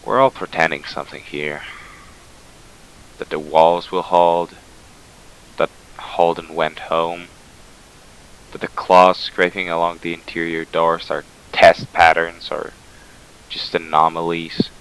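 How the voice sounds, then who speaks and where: A man playing a haunted facility worker, giving a measured, brooding confession in an analog horror drama. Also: deep voice, level tone, fairly bright tone, clean tone, full character voice, rough recording. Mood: somber, resigned. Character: somber, haunted male facility worker confiding. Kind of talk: scripted horror monologue.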